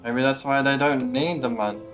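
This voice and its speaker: A woman's voice: a bass voice, brisk. A woman game streamer giving casual live commentary in a blunt, skeptical, sweary way. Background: game music.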